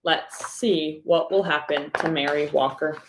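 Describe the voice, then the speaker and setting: Female primary teacher for children's read-aloud, lowish voice, very slow, instructive delivery. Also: steady tone, noisy background. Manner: deliberate, instructive phrasing aimed at young pupils. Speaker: third grade teacher.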